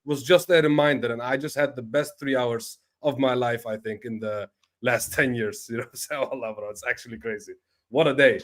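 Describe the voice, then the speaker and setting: A man guesting on a casual football chat, cheerful and relaxed, often tagging "you know what I'm saying". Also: medium pitch, moderate pace. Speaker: podcast guest.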